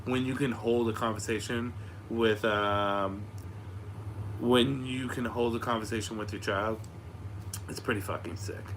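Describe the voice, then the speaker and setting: A young man with a slightly raspy voice that is starting to give out, chatting casually and frankly to viewers of his live Q&A, swearing freely as he rambles through stories. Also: fairly low pitch, steady tone, a little slow, fairly bright tone, rough recording. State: losing his voice after being sick. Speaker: host of a live Q&A stream. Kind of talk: casual chat to online viewers. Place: a room upstairs at home. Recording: clearly audible background noise.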